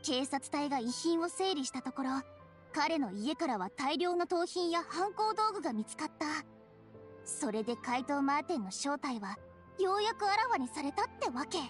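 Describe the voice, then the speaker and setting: A woman's voice: high-pitched, very animated, moderate pace, faint music behind. An actress playing an eager, dramatic young female news reporter in an anime game, with a theatrical, inquisitive manner. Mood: earnest. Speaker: character voice actor.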